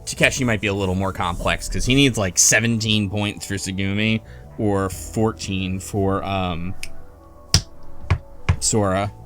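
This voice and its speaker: A man's voice: lowish voice, fairly bright tone. A man hosting a casual group chat, talking hesitantly with many fillers and restarts. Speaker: podcast host.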